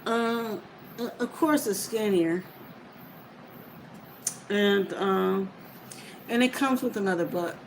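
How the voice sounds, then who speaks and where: A woman with a mid-range voice talks casually to her viewers at a slow pace. She meanders and lets thoughts trail off unfinished. The recording sounds homemade, with clear noise behind her. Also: mid-range voice, poor audio. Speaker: reader of books for listeners. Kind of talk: casual rambling chat to viewers.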